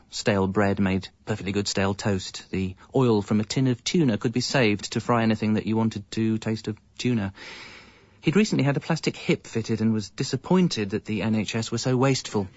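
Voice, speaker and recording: A man's voice: lowish voice, normal speed, a little mellow, dry recording, lo-fi sound, natural voice. An actor playing a harried husband and father with an English accent, narrating a radio comedy in a wry way, stammering when flustered. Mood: wry, rueful. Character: harried, exasperated husband and father telling his story. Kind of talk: radio comedy first-person narration and dialogue.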